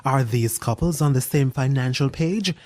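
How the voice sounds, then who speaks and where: Measured man reading business news. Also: mid-range voice, a little mellow. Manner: crisp, measured newsreader delivery. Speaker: news presenter. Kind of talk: scripted news voice-over.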